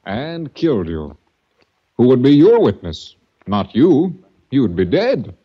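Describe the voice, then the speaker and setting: An actor playing a smooth, sinister sanitarium director in a 1940s radio drama, speaking with menacing politeness. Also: male voice, mid-range voice, sing-song, takes it slowly, heavily acted. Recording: dull old radio recording.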